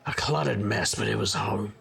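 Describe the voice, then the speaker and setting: Male, middle-aged private eye, acted: world-weary, wry, deep fry and gravel, audio drama noir. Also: moderate pace, fairly bright tone, exaggerated voice. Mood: wistful, wry. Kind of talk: audio drama narration and dialogue.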